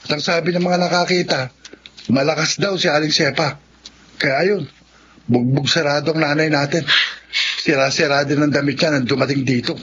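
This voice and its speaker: A man's voice: fairly high pitch, steady tone, moderate pace, a bit bright, close to an everyday voice. A man playing an earnest, troubled young son in a radio drama. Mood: grave, concerned. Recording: muffled, faintly noisy radio recording.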